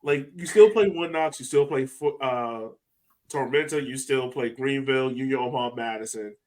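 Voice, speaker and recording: A man's voice: mid-range voice, normal speed, darkish tone. A man chats loosely on a sports podcast. He rambles through his points and laughs easily. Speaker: podcast co-host. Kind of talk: casual chat.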